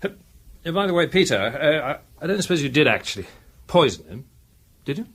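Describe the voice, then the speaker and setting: An actor playing an affable, down-to-earth English police detective in a radio drama, informal and chummy. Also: male voice, on the high side, big pitch swings, moderate pace, a bit bright, breathy edge, heavily acted. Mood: hesitant, concerned.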